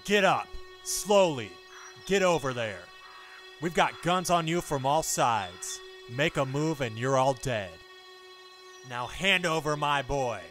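A man playing a stern, angry gang leader and protective father in a radio play, forceful and commanding. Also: mid-range voice, expressive, takes it slowly, soft background music. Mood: stern, demanding.

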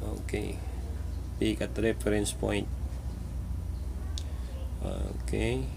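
A man narrating a step-by-step software tutorial in terse, halting short phrases. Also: fairly low pitch, little pitch movement, vocal fry, background noise.

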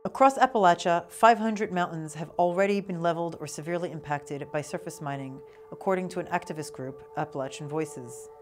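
A woman's voice: lowish voice, a little fast, hardly any pauses, faint music behind. A woman, around her thirties, reading scripted documentary narration as a researcher.

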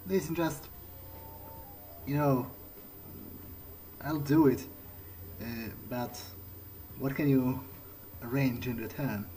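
Slow-talking man roleplaying through a game. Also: medium pitch, mellow, soft background music, poor audio. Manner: hesitant, casual, muttering thoughts aloud. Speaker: gameplay video creator. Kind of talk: in-character roleplay playthrough commentary.

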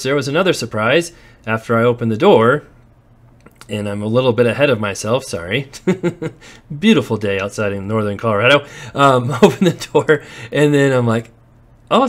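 A man's voice: medium pitch, animated, normal speed. Chatty man narrating a property walkthrough. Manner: chatty, storytelling, occasional laughs. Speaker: real estate investor and landlord. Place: walking through empty warehouses and outdoors.

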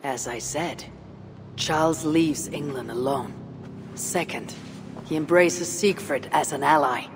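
An actress playing a calm, direct Norse warrior woman in a video game cutscene. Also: low-pitched, slow, in a large hall, background noise. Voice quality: plain, direct.

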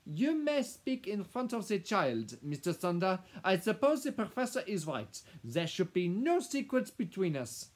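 A man's voice: sits high, very animated, unhurried, hardly any pauses, pitched above the performer's own voice, full character voice. A man voicing an imperious, impatient French housekeeper woman with a French accent and a haughty, curt manner, in a storybook narration.

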